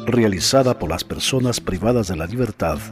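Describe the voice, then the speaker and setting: Announcer: man, lowish voice, scripted programme intro over prominent plucked guitar. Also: expressive, a little fast. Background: plucked guitar music.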